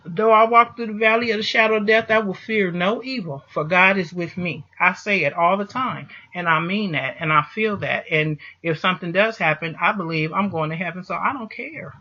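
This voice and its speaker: Male vlogger, high and sharp-edged voice, impassioned religious pep talk, insistent repetition, muffled lo-fi sound. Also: moderate pace, few pauses. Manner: emphatic, repeats phrases for stress. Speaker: vlogger answering a listener. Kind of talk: impassioned motivational monologue with religious encouragement.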